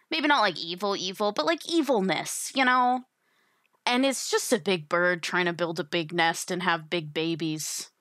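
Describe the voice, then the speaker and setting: A woman's voice: mid-range voice, a bit bright, no echo. Woman in casual, close dry chat. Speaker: podcast guest. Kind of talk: casual tabletop gaming chat.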